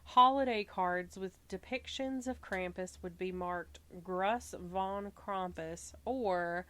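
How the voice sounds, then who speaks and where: Slow-talking Southern woman podcaster. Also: medium pitch, no room sound. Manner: relaxed, chatty, stumbles and laughs at herself. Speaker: podcast host. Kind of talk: reading researched notes with casual asides.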